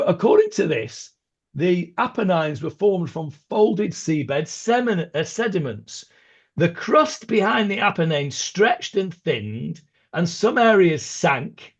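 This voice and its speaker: A man's voice: high-pitched, lively intonation, slow pace, husky. A man leading an informal online Talmud class over a video call, hesitant, with many ums and restarts and chatty asides. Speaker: rabbi teaching a Talmud class. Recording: dull lo-fi video call audio.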